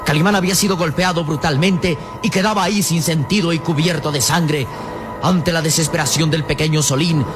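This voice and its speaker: A man's voice: on the high side, fairly even intonation, a little fast. A man narrating an adventure radio serial from a script, grave, emphatic and theatrical. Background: dramatic music.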